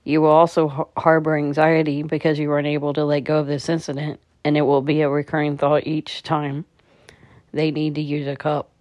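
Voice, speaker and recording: A woman leading a guided meditation in a calm, measured, soothing manner. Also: low-pitched, dry recording.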